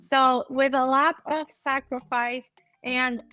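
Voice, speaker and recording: A woman's voice: sits high, steady tone, slow pace, pushed and bright. A woman from Puerto Rico sharing a heartfelt personal story in a podcast conversation.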